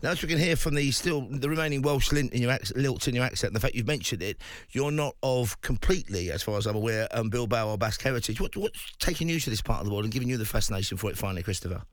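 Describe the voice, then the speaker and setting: A man hosting a live radio talk show, brisk and jokey with his guests. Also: medium pitch, lively intonation, dry recording. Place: radio studio.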